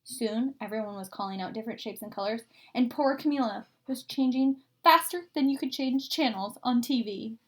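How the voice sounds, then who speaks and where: High-voiced woman reading a children's book aloud. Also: normal speed, few pauses. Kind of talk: expressive children's read-aloud.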